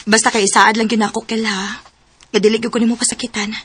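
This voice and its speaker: A woman's voice: on the high side, little pitch movement, fast talker, fairly bright tone, breathy edge, dry recording. An actress playing a sincere, tender young woman in love in a radio drama, with a Cebuano accent. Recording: music bed under radio drama voices. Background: music.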